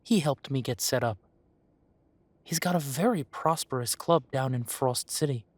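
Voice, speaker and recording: A man playing a genial, long-lived elven café owner who reminisces in an unhurried, chatty way, in a roleplay audio drama. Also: mid-range voice, dry recording, close to an everyday voice. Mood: genial, reminiscing. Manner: unhurried, musing, conversational with pauses.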